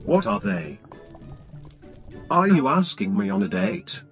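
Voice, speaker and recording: A man's voice: high-pitched, slow pace, creaky, fairly bright tone, soft background music, full character voice. A man playing a grumpy, vain hacker in a video game roleplay, speaking tersely with dry smugness. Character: masked male hacker, grumpy, vain, dryly smug.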